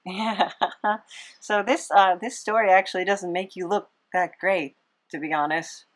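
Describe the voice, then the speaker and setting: Casual, unhurried woman podcast host. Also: medium pitch, fairly even intonation. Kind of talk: casual banter with a guest.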